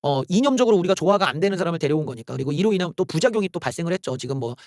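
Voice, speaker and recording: A man's voice: on the high side, lively intonation, racing, darkish tone, dry recording, studio quality. A man hosting a solo political commentary channel, ranting in a heated, sarcastic way with exclamations and curses. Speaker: political YouTube host.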